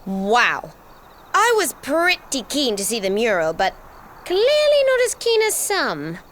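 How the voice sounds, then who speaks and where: A woman voicing a bossy, prickly little girl in a video game, with haughty, deliberate phrasing. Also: high-pitched, wildly swooping pitch, a bit bright, a little hiss or hum, full character voice. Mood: dry. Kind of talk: scripted video game cutscene acting.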